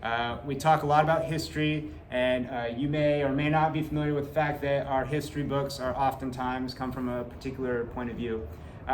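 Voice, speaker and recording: A man's voice: medium pitch, a little hiss or hum. Male teacher, 40s, earnest parent-night talk with fillers; echoey classroom, camera mic. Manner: earnest, gestures a lot, many "uh" fillers. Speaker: seventh grade teacher. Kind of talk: back-to-school talk to parents. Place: classroom in front of a whiteboard. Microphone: fixed camera at a few meters.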